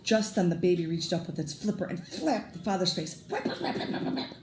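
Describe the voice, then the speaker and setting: A woman reading a children's picture book aloud as a storyteller, deliberate and expressive. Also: on the low side. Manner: deliberate, emphatic, with sound effects. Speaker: read-aloud teacher, storyteller. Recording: roomy echo, faint background noise.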